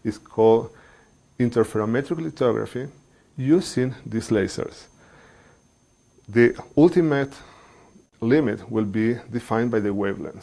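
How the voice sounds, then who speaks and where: Middle-aged researcher, measured and halting. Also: male voice, on the low side, vocal fry, warm tone. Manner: measured, pausing often with fillers, non-native phrasing. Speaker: engineering researcher. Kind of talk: explaining research to a general audience.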